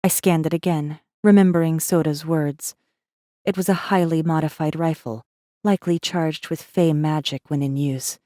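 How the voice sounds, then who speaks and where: A woman's voice: low voice, steady tone, normal speed, mellow, no room sound, studio quality, lightly acted. An actress playing a guarded, wry rebel messenger, narrating her own story in an intimate, wary, sardonic way for a space fantasy audiobook. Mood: guarded, brooding. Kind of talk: first-person dramatic audiobook narration.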